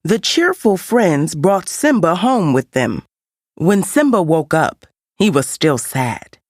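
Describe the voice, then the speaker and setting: A woman reading a scripted children's read-along story as an expressive storyteller. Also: fairly low pitch, slow, no room sound, clean studio sound. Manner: expressive storytelling with character voices. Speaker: storybook narrator.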